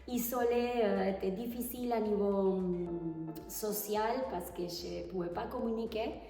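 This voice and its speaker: Argentine tango teacher as interview guest, Spanish-accented and halting, heard distantly in a roomy space with faint music under her. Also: mid-range voice, dark tone. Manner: hesitant, pauses to search for French words. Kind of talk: casual interview answers. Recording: echoey hall, far from the mic.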